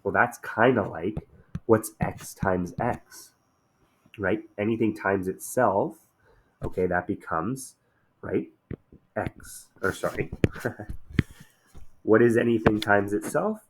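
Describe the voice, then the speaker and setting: A man teaching a math lesson in a relaxed, conversational way, slightly hoarse after a day of shouting, often checking in with "okay?". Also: lowish voice, slow, a little hiss or hum, poor audio.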